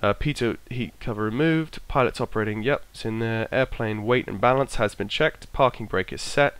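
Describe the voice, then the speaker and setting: A man with a bit of a cold, his voice croaky, casually talking himself through an aircraft checklist and trailing off as he goes. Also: on the low side, moderate pace, a bit bright, no echo. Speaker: flight sim streamer. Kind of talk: casual narrated walkthrough.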